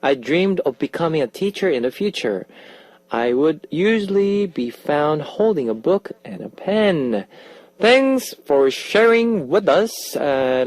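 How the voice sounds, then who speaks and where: A man's voice: a little high, a little mellow, no room sound. A man who grew up in Australia, hosting a radio show, reading listener messages and chatting with his co-host. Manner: upbeat, reads listener messages and station announcements.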